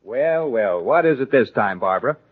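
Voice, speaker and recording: A man's voice: medium pitch, lively intonation, normal speed, lightly acted. An actor playing a reluctant, dry and formal middle-aged father in a 1930s radio comedy. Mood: reluctant, exasperated. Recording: muffled old 1930s radio recording.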